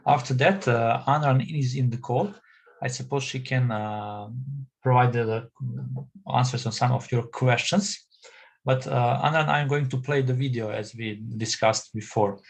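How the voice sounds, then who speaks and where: A man from Serbia with a Serbian accent moderating an online academic session, speaking haltingly and repeating words as he goes. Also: mid-range voice, steady tone. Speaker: session chair.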